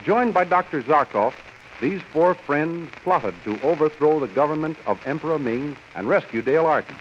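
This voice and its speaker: A man's voice: on the high side, animated, moderate pace. An American man announcing and narrating a 1930s radio serial in a dramatic, declamatory style with formal enunciation. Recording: dull, noisy vintage radio recording.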